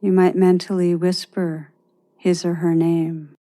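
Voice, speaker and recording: Slow, mellow woman guiding meditation. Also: lowish voice, flat delivery. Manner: gentle, measured, with long pauses. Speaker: meditation guide. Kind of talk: guided meditation narration.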